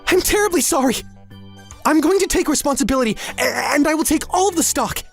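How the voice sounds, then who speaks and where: Man doing a high, stammering, apologetic young underling. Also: lively intonation, brisk, creaky, soft background music, full character voice. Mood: panicked, apologetic. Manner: stammering, pleading. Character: nervous, apologetic young male subordinate, desperate to atone.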